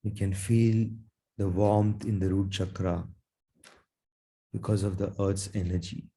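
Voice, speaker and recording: A man leading a guided meditation in a soothing, gentle manner, leaving long pauses between his phrases. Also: deep voice, fairly even intonation, husky. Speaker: meditation guide, Reiki teacher.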